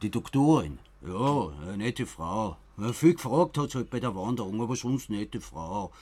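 An actor playing a grim, self-assured survivalist villager in a radio play, blunt and colloquial, with an Austrian accent. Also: male voice, lowish voice, expressive, moderate pace, darkish tone. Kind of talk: naturalistic radio play dialogue.

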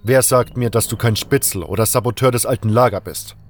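A man's voice: mid-range voice, fairly quick, close to an everyday voice. A man voicing a stern, suspicious gate guard in a fantasy audio drama, blunt and challenging, with music behind.

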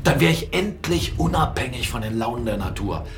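An actor playing a forceful, excitable captain and mill owner in a radio play, mocking and animated, swinging between murmurs and exclamations. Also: male voice, medium pitch, normal speed, soft background music. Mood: covetous, eager. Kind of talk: German radio play dialogue.

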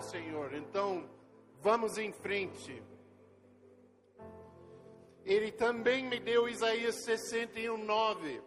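Older man, non-native speaker, missionary testimony, unhurried storytelling, faint music behind. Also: sits high, sharp tone. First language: not Portuguese. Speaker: missionary leader. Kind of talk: testimony sermon to a congregation. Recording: long hall reverb with faint music.

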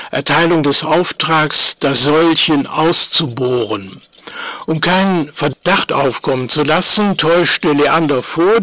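An actor playing an officious spy clerk who reads out a precise, telegraphic field report in a radio play. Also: male voice, a little high, bright, forceful tone, dry recording. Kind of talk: German radio play, protocol reading.

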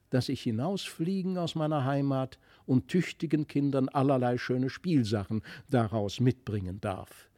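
A man voicing a gentle, wistful otherworldly child in a storybook, speaking softly and earnestly. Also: mid-range voice, natural voice.

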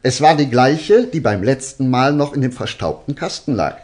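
A man acting a self-assured detective in a radio play. His husky voice swings in lively arcs as he teases his listeners, spinning out each revelation deliberately. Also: mid-range voice, close to an everyday voice. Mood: confident, probing. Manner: deliberate, teasing, suspense-building storytelling. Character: male detective, self-assured, theatrically revealing a case to suspects. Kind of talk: German radio drama acting.

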